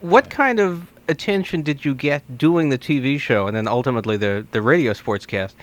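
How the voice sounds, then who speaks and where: A man's voice: medium pitch, normal speed. An American man hosting a radio interview, introducing his guest and putting questions to him.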